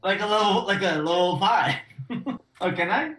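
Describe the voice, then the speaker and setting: A man's voice: sits high, normal speed, fairly bright tone. A man playing a tabletop game, chatting casually with jokey, sarcastic banter in a roomy, echoing recording.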